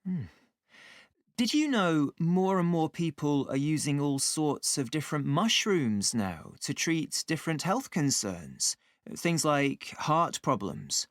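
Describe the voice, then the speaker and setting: A man playing an inquisitive student in a scripted, conversational discussion, with clear phrasing. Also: a little high, big pitch swings, slow, dry recording, studio quality. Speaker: voice actor in a listening exam dialogue.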